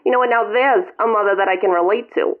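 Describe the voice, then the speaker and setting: A woman's voice: medium pitch, fast, lightly acted. A woman voicing a melodramatic, guilt-tripping elderly mother calling her son from a retirement home, over a phone line. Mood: nagging. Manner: melodramatic, whiny, self-pitying.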